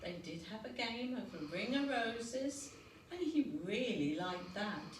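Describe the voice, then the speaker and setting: A woman reading a picture book aloud to young children as a storyteller, unhurried, expressive and gently dramatic. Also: a little high. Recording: roomy, noisy recording, distant mic.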